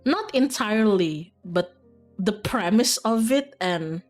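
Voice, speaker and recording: A woman's voice: medium pitch, lively intonation, slow pace, soft background music. A woman streamer chatting casually while playing a visual novel, rambling and informal with frequent giggles. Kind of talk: casual chat, live game stream.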